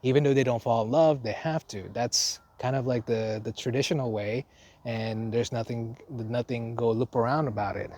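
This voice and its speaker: A man's voice: mid-range voice, steady tone, normal speed, darkish tone. A young man from Indonesia with an Indonesian accent, telling his vlog audience about his life abroad in a loose, rambling way full of restarts and fillers. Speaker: vlogger, foreign teacher. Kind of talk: unscripted casual vlog talk.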